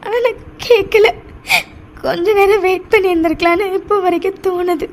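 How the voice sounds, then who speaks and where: Woman acting a scared guilty young wife in audio drama, super high weepy voice, stammers, faint noise. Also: a little mellow. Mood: guilty, weeping. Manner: tearful, halting, stammering repetitions. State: crying. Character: frightened, guilt-ridden young expectant mother, pleading with husband.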